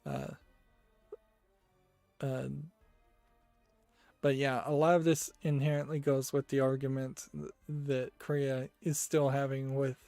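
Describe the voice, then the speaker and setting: A man hosting a game news channel, giving casual commentary while browsing store reviews and musing aloud with frequent pauses and hesitations. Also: medium pitch, little pitch movement, warm tone, clean tone, no echo, soft background music.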